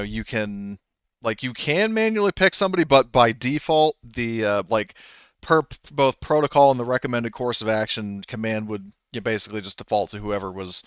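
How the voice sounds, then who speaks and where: A man running a tabletop game, thinking out loud with pauses and restarts as he lays out what happens next. His voice is smooth and resonant. The sound is dry and a little dull, as from a home mic. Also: on the low side, no room sound. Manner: hesitant, many ums and restarts. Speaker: game master. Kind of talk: explaining rules and story in a tabletop game.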